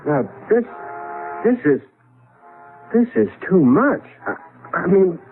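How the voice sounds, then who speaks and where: An actor with an American accent playing a wry, easily flustered lawyer husband in a 1970s radio drama. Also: male voice, high voice, big pitch swings, slow, narrow, tinny audio, heavily acted. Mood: flustered, indignant. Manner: wry, exasperated, stammers when alarmed. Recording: band-limited old radio broadcast.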